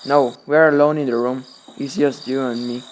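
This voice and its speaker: A man's voice: mid-range voice, steady tone, normal speed, slight background noise, close to an everyday voice, poor audio. A man playing a troubled, delusional widower who tells his gothic tale in literary wording for a radio drama. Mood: reassuring. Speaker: lead actor and narrator. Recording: music under the voice. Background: loud music.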